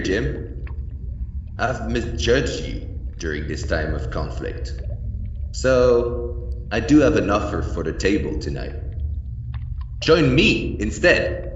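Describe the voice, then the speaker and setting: A muffled, echoing recording with some hiss carries a rich, scheming mine owner. He speaks in a pompous, condescending way with a highly animated delivery. It sounds like an old-fashioned radio horror play. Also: slow, long pauses. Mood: smooth, persuasive. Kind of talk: retro radio horror drama acting. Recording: muffled, echoing, distant cave-like sound with noise.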